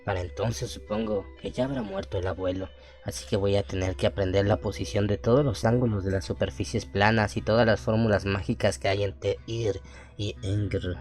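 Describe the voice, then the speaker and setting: A man playing an uncanny half-human boy sorcerer who reads his sinister diary in a slow, ominous way in a radio horror drama. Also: fairly low pitch, darkish tone, lightly acted.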